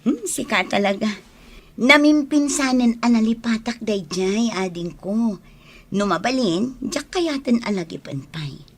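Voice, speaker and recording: A woman playing a soft-hearted, hopeful young woman in love in an Ilocano radio drama. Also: on the high side, animated, unhurried. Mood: grateful.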